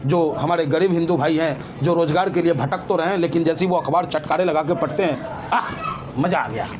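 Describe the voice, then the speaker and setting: A man's voice: fairly high pitch, a little fast, background noise. A man giving impassioned opinions as an interview guest, emphatic and indignant, full of rhetorical questions.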